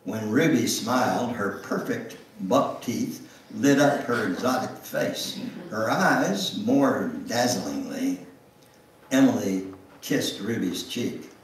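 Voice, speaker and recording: An older man gives a dramatic memoir reading in a church, theatrical and deliberate, drawing out words and pausing for emphasis. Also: on the low side, expressive, recorded from a distance. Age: older, 70s or above. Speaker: guest speaker reading at a church. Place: church sanctuary, indoors at the front. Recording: roomy, echoing church sound from afar.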